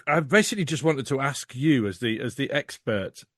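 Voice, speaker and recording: A man's voice: medium pitch, lively intonation, moderate pace, a bit bright, no room sound, clean studio sound. A British man chatting casually as a podcast guest, sweary and comically appalled. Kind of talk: casual chat.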